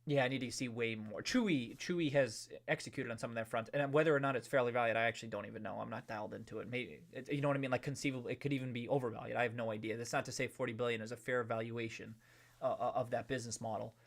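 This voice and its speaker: A man's voice: mid-range voice, fairly even intonation, rapid-fire, creaky. Chatty man hosting a livestream. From New England. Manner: loose and chatty, many restarts, hums a tune. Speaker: livestream host. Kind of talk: casual livestream chat reading viewer comments.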